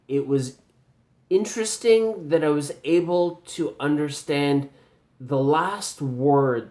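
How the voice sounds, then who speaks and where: A man with a general Canadian accent speaks slowly and deliberately, like a teacher giving feedback. He pauses often and fills gaps with ums. His voice sits at an ordinary pitch for a man. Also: a little mellow. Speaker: video commentator reviewing pronunciation. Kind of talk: slow spoken video response.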